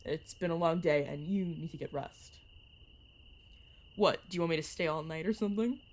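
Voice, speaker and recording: A woman's voice: sits low, moderate pace, natural voice. A woman voice actor playing a playful, sarcastic young vampire mentor who chats and teases in an audio roleplay. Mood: relaxed, teasing. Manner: teasing, sarcastic, chatty. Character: playful, teasing vampire mentor, turned at nineteen. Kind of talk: narrative audio roleplay, one-sided conversation.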